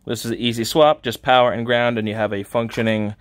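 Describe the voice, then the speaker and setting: An upbeat man in his thirties talks excitedly about his finds, chatty and quick to laugh. He speaks in a home garage workshop into a camera held at arm's length. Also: medium pitch. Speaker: channel host. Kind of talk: casual car-parts vlog. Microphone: handheld camera at arm's length.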